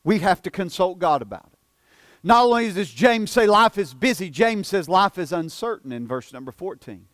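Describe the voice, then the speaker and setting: A man of forty preaching a sermon as pastor, earnest, often repeating and stumbling over words, dropping soft for emphasis. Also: sits high, animated, normal speed, dry recording, clean studio sound. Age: 40s.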